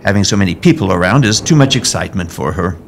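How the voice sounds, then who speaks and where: A man acting a low, expressive Victorian gentleman. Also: fast, vocal fry, a little hiss or hum. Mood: genial, evasive. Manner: polite, old-fashioned, reassuring phrasing. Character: genial Victorian gentleman, fond uncle and brother, reassuring. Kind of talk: period audio drama acting.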